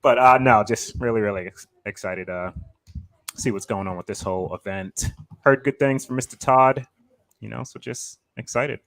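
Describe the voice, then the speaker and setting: A man co-hosting a casual whiskey show, excited and quick to laugh. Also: on the low side, no echo. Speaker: co-host. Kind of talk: casual chat.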